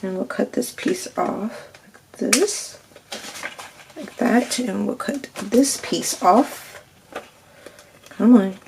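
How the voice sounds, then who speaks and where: A woman's voice: mid-range voice, animated, very slow, creaky, pauses often, a little mellow. A woman with a slightly nasal, stuffy voice and a scratchy throat, chatting through a crafting tutorial step by step and asking "right?" as she goes. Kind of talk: casual step-by-step crafting demo.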